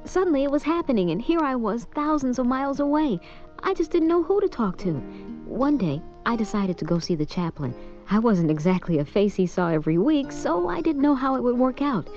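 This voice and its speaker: A woman's voice: on the high side, sing-song, a little fast. A young adult woman giving a confiding, scripted personal testimonial for a radio spot. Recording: slightly dull broadcast with music. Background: soft music.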